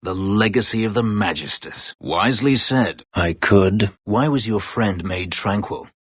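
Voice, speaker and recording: An actor playing a proud, bitter former slave warrior in a video game, speaking tersely and formally in a husky, gravelly voice. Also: male voice, on the low side, a little slow, fairly bright tone, no room sound. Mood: stern, grim, then somber, guarded. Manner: terse, formal, brooding delivery. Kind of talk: video game character dialogue.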